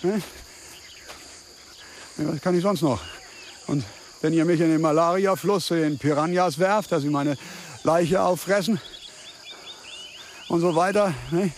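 An actor playing a desperate scam victim in a radio play, agitated and rambling with halting repetitions. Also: male voice, on the high side, slight background noise. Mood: fearful, reasoning. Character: desperate German scam victim, pleading and babbling in the bush.

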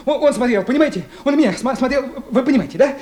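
A man's voice: lively intonation, very fast, a little mellow. A man playing a cowardly, breathless, stammering informer in a radio drama, whining and repeating himself in jumbles. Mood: panicked. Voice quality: stammering, high-pitched, breathless. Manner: frantic, whining, jumbled repetitions. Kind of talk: Soviet radio drama acting. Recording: noisy, echoey vintage radio play recording.

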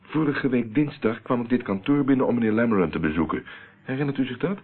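An actor playing a cool, insistent investigator in a Dutch radio play, curt and in control. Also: male voice, mid-range voice, fast talker, husky, close to an everyday voice. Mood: pressing. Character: cool, commanding male investigator. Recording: muffled, tinny old radio recording.

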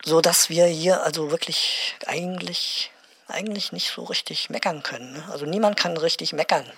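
A smug male bureaucrat in a radio play. His voice is highish, forceful and husky, and he rambles on hesitantly, pleased with his own callous reasoning. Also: moderate pace, heavily acted. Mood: self-satisfied. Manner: rambling, hesitant, tag questions. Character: chatty, self-satisfied male programme official, cheerfully callous bureaucrat.

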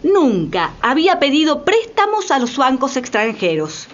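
A woman's voice: high voice, big pitch swings, moderate pace, highs cut off. A woman narrator reading a scripted dramatic documentary account.

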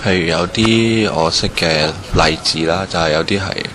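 A man's voice: sits low, normal speed. A man with a Hong Kong Cantonese accent giving hesitant, roundabout answers in an unscripted interview. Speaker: street interviewee. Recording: noisy location with ambient sound.

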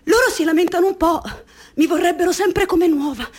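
A woman's voice: very high voice, brisk. An actress in a radio play playing a worn, bruised young woman who speaks in a subdued, hesitant, tearful way. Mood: resigned.

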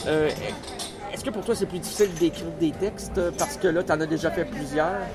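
Brief: Quebec radio host in his forties, higher voice, meandering questions over loud music. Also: fairly quick. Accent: Quebec French. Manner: rambling questions with fillers. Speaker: radio show host, interviewer. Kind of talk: casual interview.

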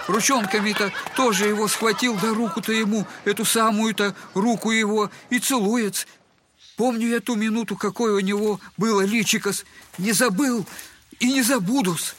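High-voiced, servile, humiliated father. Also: steady tone, moderate pace, a bit bright, a little hiss or hum. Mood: agitated, wounded. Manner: servile, old-fashioned, wordy, self-mocking speech. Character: humiliated, buffoonish impoverished father, bitter and tender. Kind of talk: Russian literary audio drama acting.

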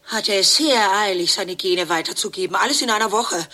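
A woman's voice: medium pitch, a little fast, bright, forceful tone. An actress playing a sardonic, exasperated divorced woman in a radio play, with dry mockery in her delivery. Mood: incredulous, mocking. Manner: sarcastic, dryly mocking. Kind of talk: German radio play dialogue and narration.

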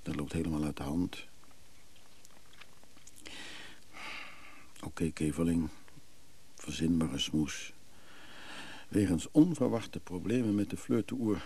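A man's voice: low-pitched, normal speed, dark tone. An actor playing an eager, wheedling errand man in a Dutch radio drama, hesitant and coaxing. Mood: muttering, self-reproachful.

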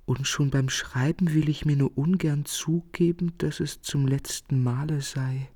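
An actor playing a world-weary, melancholy artist in a literary radio drama, speaking eloquently and earnestly with theatrical phrasing. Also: male voice, fairly high pitch, steady tone, unhurried, dark tone. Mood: melancholy confession.

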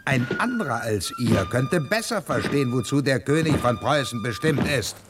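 An actor playing a sly prince and drillmaster in a 1970s radio drama, making veiled threats. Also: male voice, mid-range voice, big pitch swings, normal speed, over loud music, close to an everyday voice. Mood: scheming. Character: scheming middle-aged prince, drillmaster.

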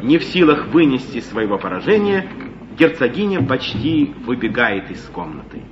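A man's voice: medium pitch, big pitch swings, moderate pace. A man narrating the action of a stage play in a scripted, descriptive style. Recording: noisy muffled old broadcast.

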